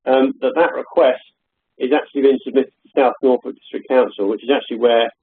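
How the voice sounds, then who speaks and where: A man giving a hesitant, filler-heavy software demonstration on a live call from his home office. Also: medium pitch, steady tone, brisk, slightly rough, phone-quality sound. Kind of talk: live webinar product demo. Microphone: call audio.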